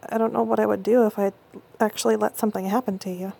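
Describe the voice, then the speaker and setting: A woman playing a husky, intense young girlfriend. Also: mid-range voice, flat delivery. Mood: tender, conflicted. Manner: soft breathy whispering, halting stammers. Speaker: audio roleplay performer. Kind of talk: intimate audio roleplay.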